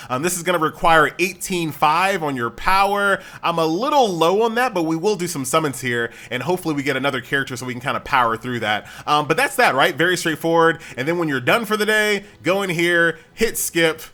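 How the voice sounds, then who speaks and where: A man's voice: on the high side, animated, a little fast, few pauses, bright, forceful tone. An American man giving casual, chatty gameplay commentary in an informal, conversational way. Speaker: gaming YouTuber.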